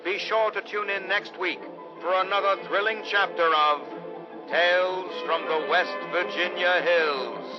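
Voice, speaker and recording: Ominous male radio serial host: theatrical, slightly high voice, dull vintage sound over loud music. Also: unhurried. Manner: theatrical, portentous. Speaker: radio drama narrator. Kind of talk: old-time radio serial narration. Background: loud dramatic music.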